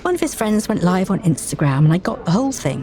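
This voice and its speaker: Guarded, earnest young professional heroine, read by a woman, audiobook drama, low pitch, clear music bed. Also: big pitch swings, fast. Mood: firm, hurt. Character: Mia, tentative, principled young professional woman.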